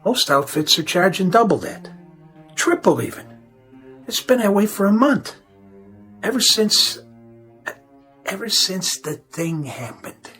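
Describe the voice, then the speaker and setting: An actor playing a tired, decent New repairman in a radio drama, talking in a halting, colloquial, apologetic way. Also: sits high, sing-song, a bit bright, faint music behind, heavily acted. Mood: apologetic, weary.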